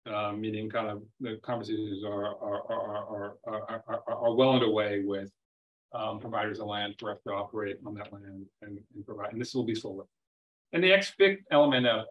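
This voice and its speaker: A man speaking as a company chairman on a web earnings call, presenting semi-formally off the cuff, haltingly, often repeating and rephrasing his words. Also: fairly low pitch, fairly bright tone. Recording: dull, lo-fi web call audio.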